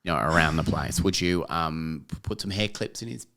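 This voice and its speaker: A man's voice: sits low, moderate pace, creaky, slight background noise. A man co-hosting a podcast, teasing and joking in casual chat. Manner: teasing, animated. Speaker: podcast co-host.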